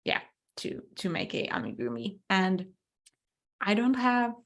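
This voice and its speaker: A young woman, speaking English as an at a slow, easy pace. She answers viewer questions through a computer mic, pausing to think aloud with frequent ums and small restarts. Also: medium pitch, very animated. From Finland. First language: Swedish. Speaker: webinar host, crochet designer. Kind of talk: live webinar Q&A.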